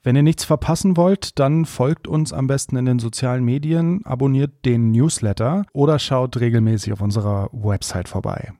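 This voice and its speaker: A man's voice: medium pitch, moderate pace, hardly any pauses, warm tone, dry recording, clean studio sound. A man hosting a podcast, introducing and interviewing a guest in a friendly, conversational way. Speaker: podcast host.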